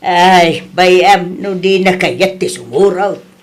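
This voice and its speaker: Woman playing a reproachful, slow-spoken Filipino mother. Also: lowish voice. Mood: accusing. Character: middle-aged mother, reproachful, worried about her son. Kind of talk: Ilocano radio-style family drama acting.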